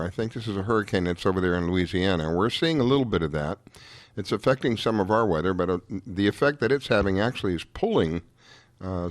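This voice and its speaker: A hoarse man hosts a casual radio chat, his voice sitting a little low for a man. He rambles through the weather with frequent restarts and fillers. The sound is close and dry, with no room around it. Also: no echo. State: hoarse after a late night. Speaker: radio show host.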